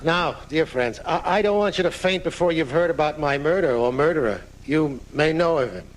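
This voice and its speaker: A man's voice: fairly high pitch, animated, moderate pace, close to an everyday voice. An actor playing an aging famous writer in a live radio drama, telling his story in a florid, dramatic way. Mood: genial, teasing. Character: aging famous male writer recounting his own murder, theatrical. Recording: noisy 1980s off-air radio recording.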